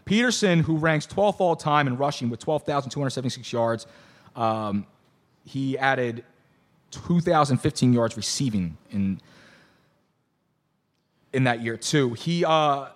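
A hoarse man hosting a casual sports talk show, excitable and rambling with lots of restarts. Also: medium pitch, measured pace, long pauses. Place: bar venue.

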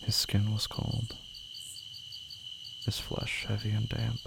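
A man narrating scripted horror slowly, in a hushed, deliberate, ominous manner. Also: on the low side, monotone, gravelly, noisy background. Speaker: horror fiction podcast narrator.

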